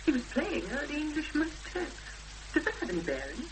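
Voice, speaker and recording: A woman's voice: sits high, wildly swooping pitch, brisk. An actress in a 1970s radio drama playing an earnest, inquiring woman with a thoughtful, understated way of talking. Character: Emma, earnest, inquiring woman seeking advice. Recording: noisy hummy 1970s radio broadcast recording.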